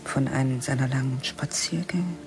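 A woman's voice: extremely low pitch, moderate pace, music in the background. An actress whispering the sardonic inner thoughts of a middle-aged female teacher in a radio drama.

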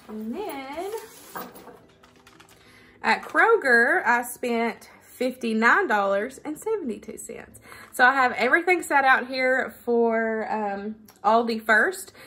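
Chatty woman, higher voice. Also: sharp tone. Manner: chatty, informal, folksy. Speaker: vlogger. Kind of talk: casual chat to camera, product review and haul. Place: living room at home.

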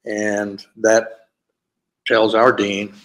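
An older man speaking as a university administrator on a video call panel, explaining his office's review process in a steady way with frequent fillers. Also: fairly low pitch, drawn-out words, very noisy. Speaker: panelist, graduate school administrator. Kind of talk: conference panel talk over video call.

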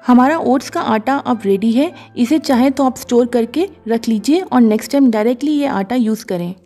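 Calm woman narrating a recipe. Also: fairly high pitch, moderate pace. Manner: calm, instructive, mixes English words into Hindi. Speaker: cooking show host. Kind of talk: step-by-step recipe voice-over. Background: soft background music.

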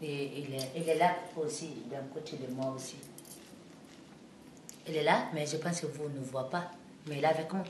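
A woman's voice: deep voice, fairly even intonation, moderate pace, pauses often, echoey room, a little hiss or hum. A woman from Guinea with a Guinean French accent, talking as a singer in an interview, in simple, broken French full of restarts that grows heated and emphatic. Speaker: singer and musician.

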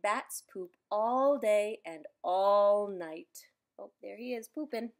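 A woman reading a children's picture book aloud, adding playful, expressive asides for young listeners. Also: medium pitch, takes it slowly. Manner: playful, expressive, simple child-directed delivery with exclamations. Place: indoors in a room at home.

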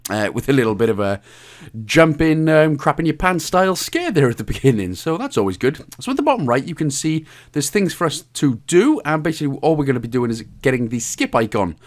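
A man presents a casual game achievement guide. His pitch swings in a lively way as he explains things, and his manner is jokey and a bit crude. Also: fairly quick. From Wales. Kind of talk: casual video game walkthrough commentary.

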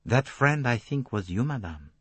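A man voicing a calm, shrewd, courteous foreign detective, speaking formally and deliberately in an audiobook. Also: mid-range voice, breathy edge, dry recording, voice pushed up. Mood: detached, recounting. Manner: placid, detached, formal, deliberate phrasing.

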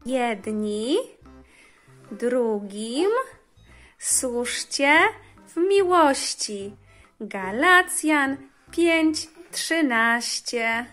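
A woman recites a verse very slowly in a bright, high voice. Her pitch moves in lively swings. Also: soft background music. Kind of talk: slow recitation of a verse for children.

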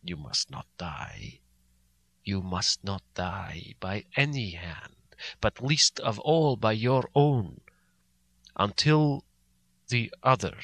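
A man voicing a solemn elderly professor who speaks slowly and heavily, pleading with grave fatherly weight. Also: lowish voice, fairly bright tone, slightly rough, no echo, full character voice. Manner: slow, heavy, deliberate pleading.

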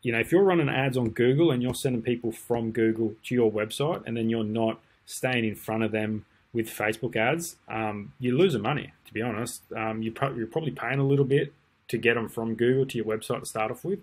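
A man's voice: mid-range voice, fairly quick, husky. A man, talking through a screen-share tutorial casually, with lots of ums and restarts. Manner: relaxed, rambling, many ums and restarts. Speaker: marketing tutor. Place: indoors at a desk.

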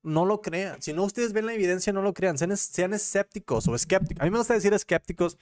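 A young man with a Mexican accent and a fairly high-pitched voice. He talks like a livestream commentator, informal and full of slang. His sentences ramble and double back as he corrects himself, spoken close into a desk microphone in a small room at home. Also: quick pace, darkish tone. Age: young adult. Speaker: commentary streamer and host. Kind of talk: casual livestream commentary on news and gossip. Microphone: close desk microphone on a boom arm.

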